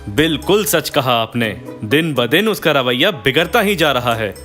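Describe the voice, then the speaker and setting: A man's voice: medium pitch, lively intonation, measured pace, a bit bright, soft background music. A man playing a weary, reproachful husband in a cartoon story, speaking in an earnest, lecturing way. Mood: stern, earnest. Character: weary, reproachful husband, a sensible adult son. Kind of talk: Hindi cartoon moral story dialogue.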